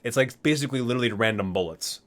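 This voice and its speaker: A man's voice: mid-range voice, very fast, a bit bright. A man doing an ASMR reading, reciting expressively and dropping in casual, murmured offhand remarks. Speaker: video creator reading aloud from a game guide book.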